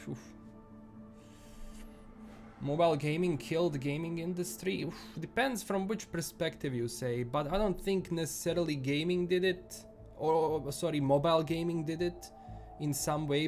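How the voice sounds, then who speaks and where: A man's voice: fairly high pitch, a little mellow. A man with a Bosnian accent chatting casually on a live stream, rambling and restarting his sentences often. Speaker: livestream host.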